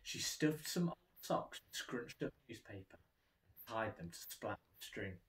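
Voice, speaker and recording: A man in his thirties narrates a children's storybook. His delivery is very animated and takes its time, with audible room echo around the voice. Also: on the low side, measured pace, a little hiss or hum. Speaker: teacher reading aloud. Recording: roomy indoor echo.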